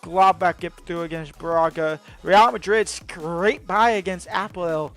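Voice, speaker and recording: A man giving excitable live commentary over his own video game match, his voice going hoarse. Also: high-pitched, a little slow, faint music behind. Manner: excitable, bursts into shouts at big moments. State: voice getting hoarse. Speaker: gaming video host.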